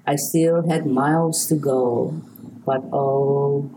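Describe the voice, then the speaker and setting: Older woman, Hawaiian accent, low mellow voice, unhurried reflective storytelling, faint background hum. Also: steady tone, rough recording. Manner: slow, measured, reflective storytelling. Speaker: poet, interview guest. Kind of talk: poetry reading and interview answers.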